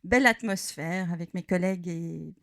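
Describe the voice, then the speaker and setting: Low-voiced woman, measured. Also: gravelly. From Belgium. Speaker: academic secretary. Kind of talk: podcast guest chat. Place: studio.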